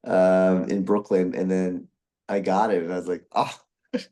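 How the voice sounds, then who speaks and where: Brief: young Brazilian man, lowish voice, loose rambling chat with many false starts. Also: level tone, moderate pace, warm tone. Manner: rambling, with many restarts and fillers. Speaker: guest voice actor. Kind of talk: casual interview chat.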